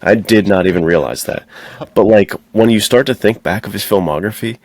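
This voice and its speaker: A man's voice: on the low side, brisk, darkish tone, dry recording. A man co-hosting a podcast in casual, slangy, enthusiastic chat. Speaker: podcast co-host.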